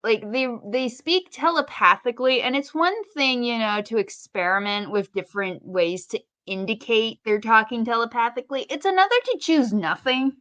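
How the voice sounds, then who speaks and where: A woman's voice: a little high, moderate pace, hardly any pauses, a bit bright, no echo, muffled recording. A woman co-hosting a casual podcast, chatty and opinionated, with wry, rambling asides. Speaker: podcast co-host.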